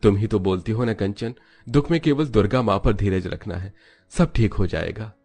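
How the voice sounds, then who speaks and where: A man playing a responsible elder brother and husband in a cartoon moral story, calm and reassuring. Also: lowish voice, moderate pace, slightly rough. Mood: patient.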